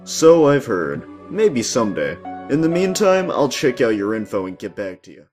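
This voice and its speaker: A man's voice: medium pitch, very animated, normal speed, darkish tone, lightly acted. A man playing a serious, watchful echidna guardian and father in a comic dub. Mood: serious, reserved. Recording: echoey room, music underneath.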